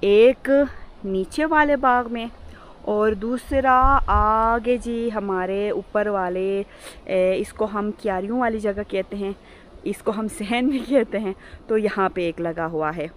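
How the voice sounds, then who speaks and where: बैठी आवाज़ वाली औरत, आराम से धीरे धीरे गांव का व्लॉग, पीछे हल्की बाहर की आवाज़. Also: female voice, mid-range voice, slow pace, a little hiss or hum. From Gilgit-Baltistan, Pakistan. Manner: soft-spoken, fills with polite "ji". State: hoarse, sore throat. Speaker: village vlogger. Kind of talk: casual vlog narration. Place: home village, partly outdoors on rooftop and in garden.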